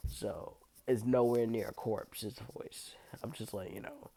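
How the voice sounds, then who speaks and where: Hoarse sick young guy, slow unhurried vlog rambling, crude slang, coughs a lot. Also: fairly low pitch, fairly even intonation, mellow. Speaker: YouTube voice creator. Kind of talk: casual vlog chat.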